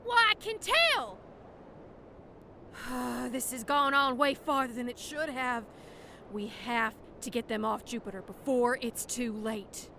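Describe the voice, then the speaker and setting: A woman's voice: a little high, lively intonation, slow, gravelly, sharp tone, a little hiss or hum, heavily acted. A woman playing an exasperated, protective spaceship crew member in an audio drama, speaking emphatically. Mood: worried.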